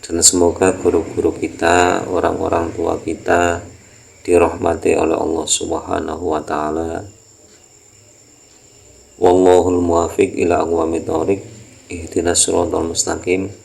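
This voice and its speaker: Man, Javanese accent, bass pitch, heavy vocal fry, religious lesson, stop-start repetitive explaining. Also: flat delivery, a little slow, pauses often, darkish tone. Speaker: religious teacher. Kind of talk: Islamic text lesson, reading aloud and explaining.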